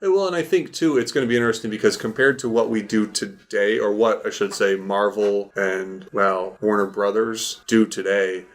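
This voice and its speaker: A man's voice: fairly low pitch, fairly even intonation, normal speed, hardly any pauses. A man co-hosting a podcast, talking casually about films. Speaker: podcast co-host. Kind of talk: casual film discussion.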